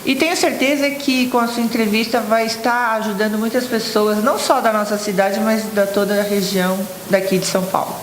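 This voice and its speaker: A woman's voice: on the high side, fairly even intonation, brisk, hardly any pauses, echoey room, big-room sound, a little hiss or hum, low-quality recording. A woman guest on a TV interview, speaking politely and thanking the others. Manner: polite, courteous. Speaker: interview guest, citizenship specialist. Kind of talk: studio TV interview.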